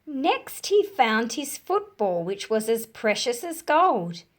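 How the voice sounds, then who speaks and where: A woman reads a picture book aloud for young listeners. Her voice sits fairly high and swings widely in pitch, and she takes her time with each phrase, shaping it expressively. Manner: slow, deliberate, animated delivery for young listeners. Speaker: storyteller reading aloud to children. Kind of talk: expressive children's storybook read-aloud.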